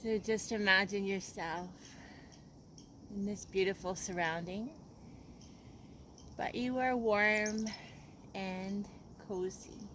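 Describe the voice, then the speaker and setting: A woman leads a guided relaxation as a yoga teacher. She speaks very slowly and gently, leaving soothing pauses between instructions. Her mid-pitched voice sits over faint background noise. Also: fairly even intonation.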